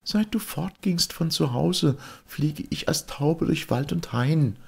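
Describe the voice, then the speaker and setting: A man voicing a gentle, devoted young wife in a fairy tale. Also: fairly high pitch, animated, slow pace, creaky, husky, voice pushed up, full character voice. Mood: tender.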